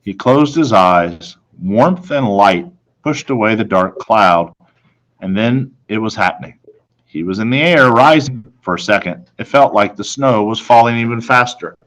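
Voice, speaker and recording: A man with a fairly low voice reads a children's novel aloud. His delivery is expressive and dramatic, with deliberate phrasing suited to storytelling. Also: poor audio. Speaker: story reader, teacher.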